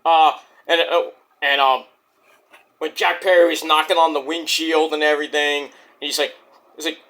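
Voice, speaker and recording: A man with a high, bright voice talks loosely through a wrestling recap. He rambles, swears freely and jumps into excited bursts. A sore throat is wearing his voice down. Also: moderate pace. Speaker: wrestling show reviewer. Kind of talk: casual spoken review.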